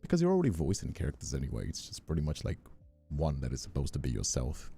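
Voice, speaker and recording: Very deep, dark, gravelly man. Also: huge pitch swings, quick pace. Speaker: host, streamer and voice actor. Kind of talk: casual live stream chat. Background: faint background music.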